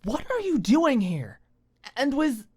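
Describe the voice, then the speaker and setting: A woman's voice: high voice, big pitch swings, slow pace, a little mellow, husky, pitched above the performer's own voice, heavily acted. A woman voicing a hot-tempered, protective, indignant young woman in an audiobook. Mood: shocked, disgusted. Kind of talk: audiobook character acting.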